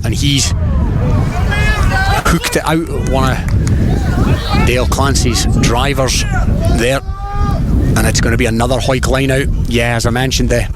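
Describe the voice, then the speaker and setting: A man with a Scottish accent giving live radio play-by-play rugby commentary in a measured, descriptive and colloquial way. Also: mid-range voice. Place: outdoors at a rugby ground. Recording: outdoor live broadcast, loud crowd and noise. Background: spectator crowd, outdoor ambient noise.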